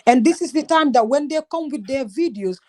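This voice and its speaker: Woman from gambia on a group call, fairly high voice, emphatic, retells what she said. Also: lively intonation, a little fast, darkish tone. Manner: passionate, emphatic storytelling, quotes herself often. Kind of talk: casual group discussion.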